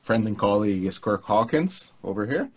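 A man's voice: fairly low pitch, very animated, breathy edge. Man on a video call. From Hungary. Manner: halting, many restarts and fillers. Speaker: political scientist, co-host. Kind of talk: casual academic conversation. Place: home studio room. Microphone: headset mic on video call.

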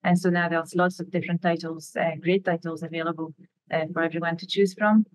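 A woman's voice: fairly low pitch, level tone, a little fast, no echo, poor audio. A woman in her fifties with a French accent, a native French speaker, giving an informal webinar presentation. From France. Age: 50s. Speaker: graphic novelist and illustrator, webinar presenter. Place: indoors, on a video call.

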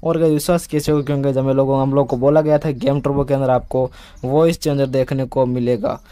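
A man's voice: mid-range voice, mellow, dry recording. A man hosting a tech video, explaining things casually and repetitively as he keeps addressing viewers as friends. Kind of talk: casual smartphone update explainer.

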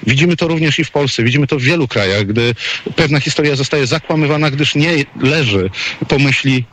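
Guy doing live radio commentary as a correspondent, wordy and opinionated, middling pitch, stops and hesitates. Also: brisk, a bit bright.

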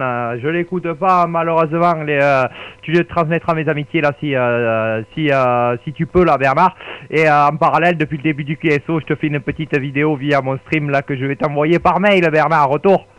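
Clear-voiced man, fairly high pitch. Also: moderate pace, fairly bright tone. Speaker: amateur radio operator. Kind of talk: casual radio chat.

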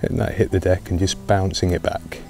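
British male angler, thirties, low unhurried voice, chatty riverside commentary over clear background music. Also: fairly even intonation, dark tone. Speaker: angler presenting a fishing video. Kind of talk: casual fishing commentary to camera. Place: outdoors on a wooded riverbank.

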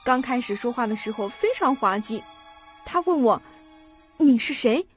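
A woman acts a cheerful, naive young daughter in a radio drama. Her voice is high and her manner chatty and innocent. Soft music sits behind her. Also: expressive, normal speed, natural voice. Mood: chatty, excited.

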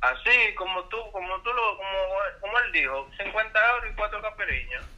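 A man's voice: sits high, fairly quick, pushed and bright. A man with a Dominican accent having an exasperated phone conversation, heard as a tinny call over a speaker. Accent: Dominican Spanish. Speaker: singer receiving a prank call.

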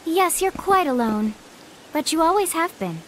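A woman's voice: extremely high pitch, animated, normal speed, a little hiss or hum. A woman playing a composed, knowing, mysterious young woman in a video game fandub, cryptic and assured.

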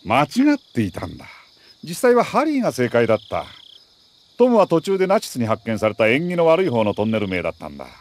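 An actor playing a middle-aged army major who briefs an agent in a crisp, authoritative, deliberate way in a video game. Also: male voice, mid-range voice, huge pitch swings. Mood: sheepish, earnest. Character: male military major, mission commander, precise and earnest. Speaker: mission commander briefing an agent.

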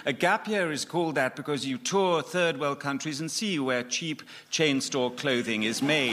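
English male comedy panellist, voice a little high, live theatre recording with noticeable noise. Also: bright, forceful tone. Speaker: comedy panel show contestant. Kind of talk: witty panel-game monologue.

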